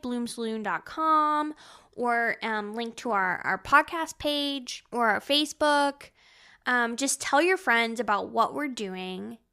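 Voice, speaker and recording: A woman co-hosting a podcast in casual book chat, with a gushing, excitable manner. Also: on the high side. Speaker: podcast co-host.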